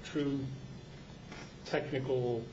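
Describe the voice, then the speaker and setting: A man's voice: mid-range voice, steady tone, drawn-out words. A man informally moderating a club discussion, talking in a casual, unscripted way. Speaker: meeting moderator. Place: club meeting room. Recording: muffled, noisy room recording, distant from microphone.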